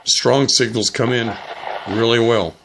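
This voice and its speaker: A middle-aged man casually explaining and demonstrating as a hobbyist vlogger, in a plain-spoken, unhurried way. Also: medium pitch, fairly even intonation, heavy background noise. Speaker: vlogger, shortwave radio hobbyist.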